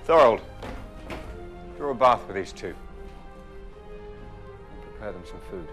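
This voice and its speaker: Low-voiced, stern lordly father. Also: expressive, unhurried, fairly bright tone, soft background music. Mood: controlled, cool. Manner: curt, authoritative. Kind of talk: film drama acting.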